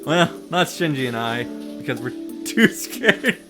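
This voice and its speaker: A man's voice: medium pitch, very animated, a little slow. Casting: male game commentator, mid-range voice, casual and wry, music playing underneath. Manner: wry, playful asides. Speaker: visual novel reaction and summary host. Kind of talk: casual joking recap commentary.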